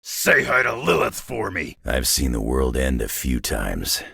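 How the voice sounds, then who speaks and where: An actor playing a gruff, battle-worn older mutant brawler in a video game, with a deep gravelly rasp and a terse, sardonic, folksy tough-guy delivery. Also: wildly swooping pitch, unhurried, pushed and bright, dry recording, clean studio sound, full character voice. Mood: wry, low. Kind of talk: video game character voice lines.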